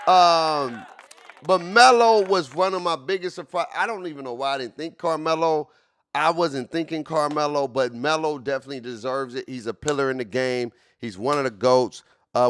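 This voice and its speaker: A man's voice: a little high, a bit bright. A man in his late forties streaming casually, repeating himself with hype and constantly talking to his chat. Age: 40s. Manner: repetitive, hyped, keeps addressing chat.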